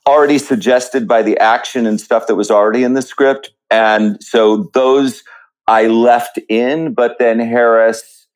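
A man speaking as an interview guest, explaining his writing process conversationally and thinking aloud in a measured way. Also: medium pitch, steady tone, rough recording.

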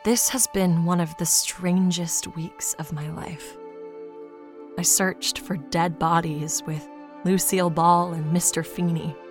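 A woman playing a weary, earnest male investigator in an audio drama, narrating in a dry, deadpan way. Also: on the low side, takes it slowly. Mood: weary, reflective. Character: weary, earnest male podcast investigator telling his strange story. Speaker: narrator and lead character. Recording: loud music under the voice. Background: loud music.

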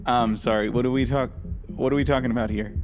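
A flustered, evasive investigator as an actor performs it in a naturalistic audio drama. The delivery is halting and stammering, with repeated phrases and a defensive edge. Music plays clearly behind the voice. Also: mid-range voice, fairly even intonation, close to an everyday voice.

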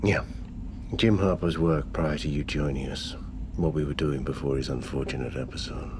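A very low-voiced, stern man in command of an expedition. He speaks formally and deliberately, controlled and exact in every phrase. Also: steady tone, background noise. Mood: controlled, firm. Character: stern, controlled expedition leader, a grieving father with a theory. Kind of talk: audio drama acting.

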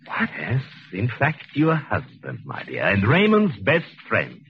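A low-voiced, coldly vengeful husband. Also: male voice, wildly swooping pitch, a little hiss or hum. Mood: coldly polite. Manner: deliberate, menacing, gloating. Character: vengeful, coldly triumphant wronged husband, English ex-convict. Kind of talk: 1940s radio suspense acting. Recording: muffled 1940s radio recording with music.

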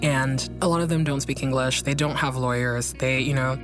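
A woman's voice: a bass voice, steady tone, moderate pace, over music. A woman from Guinea and Belgium talking candidly and informally as an interview guest, repeating phrases for emphasis. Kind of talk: candid casual conversation.

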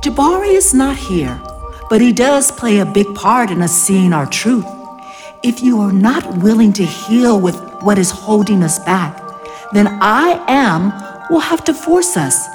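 An actress playing a stern, otherworldly guide, solemn and commanding in an audio drama. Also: female voice, mid-range voice, very animated, measured pace, a little mellow. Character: stern, otherworldly female guide forcing painful truths. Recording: reverberant, music underneath.

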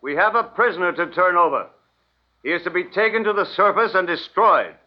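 A military colonel, cold and formal. His voice sits a little high and gives clipped commands with authority, on a muffled 1950s radio drama recording. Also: expressive, brisk. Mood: commanding.

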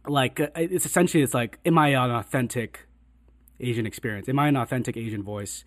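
A reflective man in a relaxed panel chat, thinking aloud with frequent "like"s and restarts. His voice sits at an ordinary pitch, and the sound is close and dry, with little room around it. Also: no echo. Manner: reflective, halting with many fillers and restarts. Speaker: writer and director. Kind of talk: casual panel conversation.

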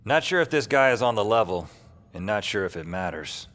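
An actor playing a wry, world-weary hotline worker, delivering slangy deadpan lines and narration in an audio drama. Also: male voice, lowish voice, a little fast. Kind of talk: audio drama dialogue and first-person narration. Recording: noisy ambience behind the voice.

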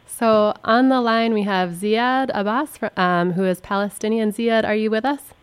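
A woman's voice: medium pitch, normal speed, clear, resonant tone, no room sound. A woman co-hosting a radio show who introduces a guest on the line, in a friendly and hesitant way with many ums. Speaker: radio co-host. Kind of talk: radio interview.

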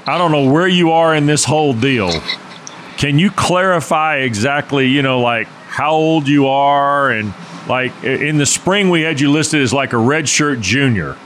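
A man hosts a sports radio show in a loose, joking way, trading quick asides with his co-host. His voice sits in a middle range. A faint hiss of noise runs under the recording. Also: mid-range voice, a bit bright. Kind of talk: casual sports radio chat.

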